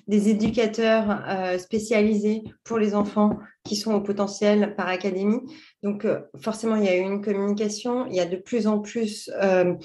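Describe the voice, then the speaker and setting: A woman's voice: medium pitch, a little mellow, roomy sound. A woman from France, a coach, explaining her field in a podcast interview in a thoughtful way, with frequent hesitations and restarts. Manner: thoughtful, many fillers and restarts. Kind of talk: conversational explanation.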